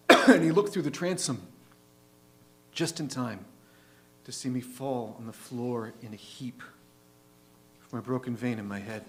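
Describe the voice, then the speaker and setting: A man playing a bitter, worn-out, grey-haired store clerk in a dramatic poetry monologue, halting and weary. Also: mid-range voice, a bit bright, a little hiss or hum, natural voice, poor audio. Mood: grim.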